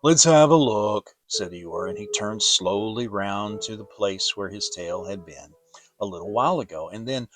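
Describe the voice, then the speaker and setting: A man narrating a children's story as a dramatic audiobook, deliberate and expressive. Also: on the low side, faint music behind.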